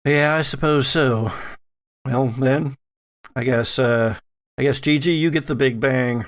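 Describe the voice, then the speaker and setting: A man's voice: medium pitch, measured pace, natural voice. A man voicing a wry, reluctant, loyal man in a tabletop game, speaking in a laconic, folksy, grudging way. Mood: wry resignation.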